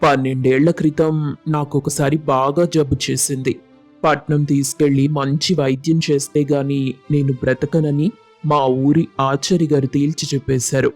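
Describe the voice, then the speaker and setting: A man performs an earnest, humble young villager from a moral tale. He is measured and respectful, with a slightly high voice and flat, steady melody over soft music. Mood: earnest, explaining. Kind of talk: acted moral storybook dialogue.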